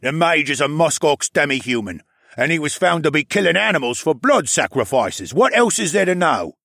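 A man's voice: fairly high pitch, very animated, moderate pace, creaky, no echo, studio quality, pitched above the performer's own voice, heavily acted. A man voicing an angry, sneering male polar bear demi-human who leads a mob in an audiobook fantasy story. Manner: belligerent, shouting.

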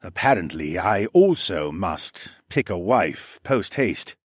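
A man voicing a courteous, solemn lizard-like regent with formal, measured phrasing in an audiobook. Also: low voice, sing-song, husky, no echo, studio quality, heavily acted. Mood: resigned. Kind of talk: audiobook character dialogue.

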